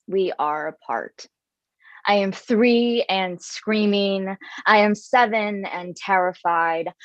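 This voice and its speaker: A woman performing spoken word poetry at an open mic, reciting in a measured, deliberate way. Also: mid-range voice, no echo. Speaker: poet performer. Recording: dull lo-fi sound.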